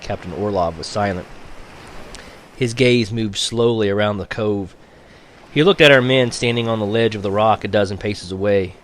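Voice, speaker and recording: Low-voiced man reading a story aloud. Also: moderate pace, a little hiss or hum. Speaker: audiobook reader. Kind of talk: read-aloud story narration.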